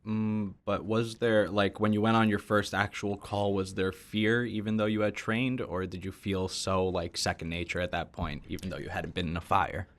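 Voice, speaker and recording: A man with a deep voice chats as a podcast co-host. He puts his questions casually, with an informal, joking manner. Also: flat delivery, moderate pace, hardly any pauses. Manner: jokey, informal.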